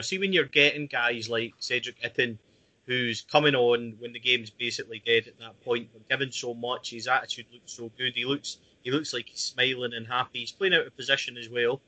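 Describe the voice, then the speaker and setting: A man's voice: medium pitch, fairly even intonation, fairly quick, pushed and bright, poor audio. A man hosting a casual football podcast, steering the talk and bringing in each guest by name. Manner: leads the talk, hands over to guests by name. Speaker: podcast host.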